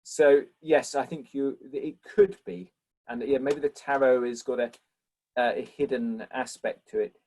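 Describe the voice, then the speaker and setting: A man's voice: medium pitch, measured pace, poor audio. Man answering questions after a lecture. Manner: thoughtful, warm and appreciative toward questioners. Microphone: video call.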